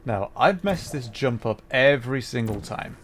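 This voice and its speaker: A man's voice: medium pitch, expressive, moderate pace, a little hiss or hum. A man casually commentating live gameplay for his chat, thinking aloud and interrupting himself as he goes. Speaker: livestreamer, host.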